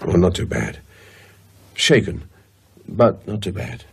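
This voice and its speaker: A shaken man in a horror radio play, his voice very husky and fairly low. He speaks hesitantly and with understatement, yet his pitch moves around a good deal. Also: very animated, slow, a little mellow, heavily acted. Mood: shaken, questioning. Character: shaken, wry man recovering from a night terror. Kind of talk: naturalistic radio horror drama acting.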